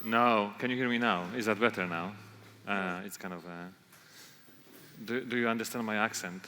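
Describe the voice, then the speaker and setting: A man with a Polish accent giving a conference talk, halting with many fillers and restarts. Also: fairly low pitch, pushed and bright. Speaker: conference speaker. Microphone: handheld or stage microphone. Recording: echoey conference hall.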